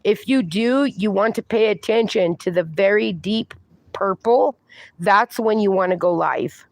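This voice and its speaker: Reassuring woman host of a casual livestream, mid-pitched, dry close mic, lots of chatty fillers. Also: a little slow, no echo. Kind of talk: casual livestream chat reading comments.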